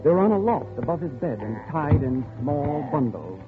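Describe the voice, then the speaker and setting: An actor playing an uneasy, polite man who tells his story in a measured, reflective way in a 1940s radio drama. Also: on the high side, very animated, narrow, tinny audio. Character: uneasy, polite male visitor narrating his captivity. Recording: thin old radio recording with music.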